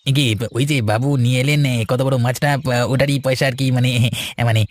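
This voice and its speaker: A man's voice: mid-range voice, steady tone, moderate pace, lightly acted. Man as a hesitant, wheedling cartoon fish seller. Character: wheedling village fish seller asking for money. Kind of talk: cartoon story voice acting.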